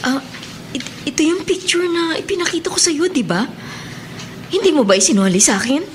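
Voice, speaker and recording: A woman's voice: high voice, sing-song, moderate pace, noisy background. A woman playing a remorseful middle-aged Filipino mother in a melodramatic radio drama, hesitant and stammering with emotion. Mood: uneasy, puzzled. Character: remorseful middle-aged mother who long ago left her son.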